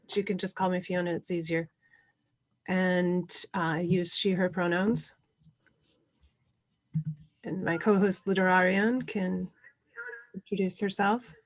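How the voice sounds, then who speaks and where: A woman co-hosting an online session, introducing things in an informal, slightly halting way. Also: fairly low pitch, fairly even intonation, low-quality recording. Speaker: co-host presenting an online convention session.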